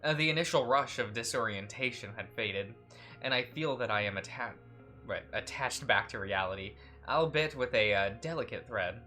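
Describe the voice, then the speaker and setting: A young man with a bright, forceful voice hosts a game playthrough. He chats and laughs easily and trips over words as he reads the story aloud. Soft music plays under him. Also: mid-range voice, moderate pace. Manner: laughing, chatty, stumbles while reading. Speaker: let's play host. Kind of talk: casual let's play chat and reading aloud.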